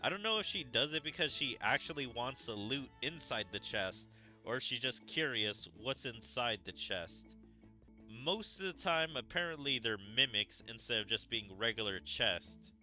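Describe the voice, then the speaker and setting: Male streamer, casual commentary, rambling asides, very bright tone, faint music behind. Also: medium pitch, expressive, normal speed. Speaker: gaming streamer.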